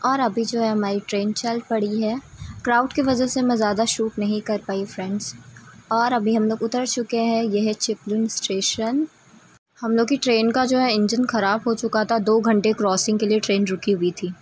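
A woman's voice: on the high side, fairly even intonation, normal speed, a little mellow, slight background noise, low-quality recording. A woman narrating a casual travel vlog in a chatty way, speaking directly to her viewers. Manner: chatty, addresses viewers as friends. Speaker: travel vlogger.

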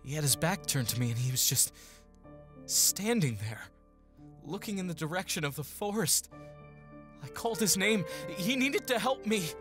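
An actor playing an earnest, haunted young would-be mercenary in an audio drama, with hesitant, emotional delivery. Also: male voice, sits high, expressive, moderate pace, music in the background. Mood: grave, anguished.

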